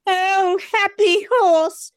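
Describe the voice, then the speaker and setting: A woman's voice: extremely high pitch, steady tone, no room sound, clean studio sound, exaggerated voice. A woman playing an earnest, theatrical female show dog who wants to act, in an audio play. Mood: dramatic. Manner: theatrical, drawn-out.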